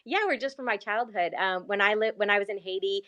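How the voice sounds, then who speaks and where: Woman missionary guest chatting earnestly, laughs a lot, mid pitch, sounds sincere. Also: fast. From Canada. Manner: earnest, animated storytelling with frequent laughs. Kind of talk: conversational faith interview.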